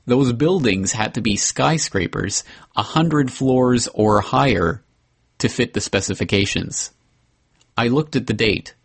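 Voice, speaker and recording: A man hosting a podcast, reading a memoir excerpt aloud in an earnest, measured way with the odd slip and restart. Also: medium pitch, slightly rough.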